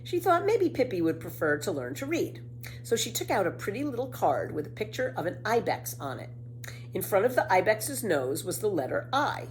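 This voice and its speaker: A woman reading a children's story aloud as narrator, in an expressive way. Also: mid-range voice, normal speed, a little hiss or hum, low-quality recording. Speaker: storybook reader.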